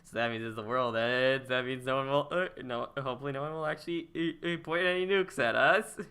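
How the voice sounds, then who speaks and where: Bright young man chatting on a podcast. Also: mid-range voice, fairly quick, clean tone. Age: 20s. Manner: rambling, laughs often, trails off and restarts. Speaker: podcast host. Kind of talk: casual chat reading live chat.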